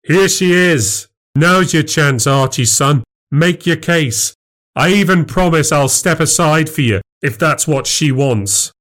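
A man's voice: fairly high pitch, expressive, slow pace, fairly bright tone, voice pushed up. Jealous, sneering husband, read by a man. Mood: confrontational. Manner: goading, sneering. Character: jealous, sarcastic husband picking a fight. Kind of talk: acted audiobook dialogue.

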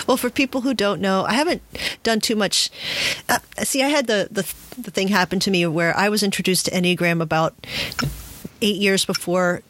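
A woman's voice: mid-range voice, normal speed, fairly bright tone. A woman hosting a podcast, talking conversationally as she interviews a guest. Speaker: podcast host.

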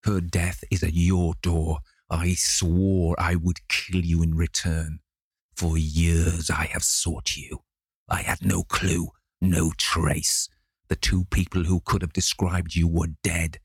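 A man voicing a vengeful sailor who speaks in a slow, menacing growl. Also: deep voice, little pitch movement, gravelly, slightly rough, dry recording, studio quality, exaggerated voice.

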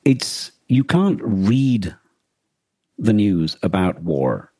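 A man co-hosting a podcast, talking casually and thoughtfully, often halting to rephrase what he says. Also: on the low side, sing-song, mellow, husky, no room sound. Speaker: podcast co-host. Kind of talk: casual discussion of a radio drama and war.

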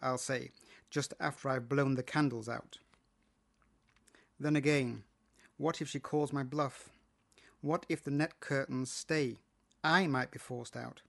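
A man's voice: mid-range voice, vocal fry, fairly bright tone. A British man reading a first-person short story as its narrator. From Britain.

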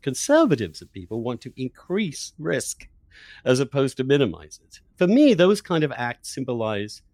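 A man's voice: medium pitch, very animated, normal speed, a little mellow, no echo. A man hosting a political podcast, debating in an emphatic, opinionated, argumentative way. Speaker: podcast host.

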